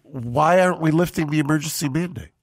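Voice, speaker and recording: An older man co-hosting a podcast in a studio, talking into a boom mic, indignant and full of rhetorical questions. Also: fairly quick. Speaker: co-host. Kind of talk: casual podcast talk. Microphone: studio boom mic with headphones.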